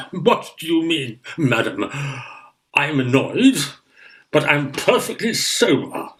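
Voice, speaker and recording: Guy doing pompous grumpy victorian uncle, slow talking but swoopy, radio play. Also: a little high, sing-song, fairly bright tone, heavily acted. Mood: indignant. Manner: pompous, stiff, indignant. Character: pompous, irritable older Victorian guardian uncle. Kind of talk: Victorian farce radio acting.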